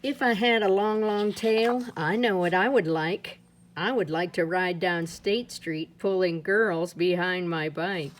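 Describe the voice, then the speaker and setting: A woman reading a rhyming children's picture book aloud in a playful, lilting way, her pitch swinging up and down. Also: unhurried, slight background noise. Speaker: storybook reader.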